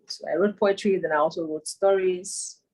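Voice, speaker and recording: A Nigerian woman in her thirties, a poet and author, recalling her childhood over a video call, pausing to search for words. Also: on the low side, fairly even intonation, darkish tone, low-quality recording. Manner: thoughtful, searching for words with frequent ums. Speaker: interviewee, performance poet and author. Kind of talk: remote interview, casual reminiscing.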